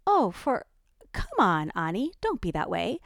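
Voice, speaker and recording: A woman's voice: medium pitch, wildly swooping pitch, measured pace, creaky, no echo, studio quality, heavily acted. A woman voicing Ellie, a defensive, fiery young mother, in an audiobook reading. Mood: heated. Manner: heated, pleading then hushed and contrite.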